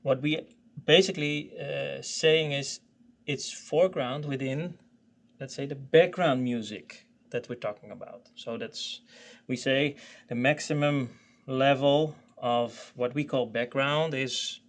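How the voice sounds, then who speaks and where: Halting man explaining technical settings. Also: medium pitch. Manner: halting, repeats words and searches for phrasing. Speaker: product expert explaining software. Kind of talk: informal technical explanation in an online training.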